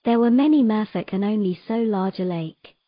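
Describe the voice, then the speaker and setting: A woman's voice: mid-range voice, moderate pace, dry recording, muffled recording, natural voice. A woman playing a troubled, earnest young mermaid in a romantic fantasy audio drama. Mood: wistful storytelling.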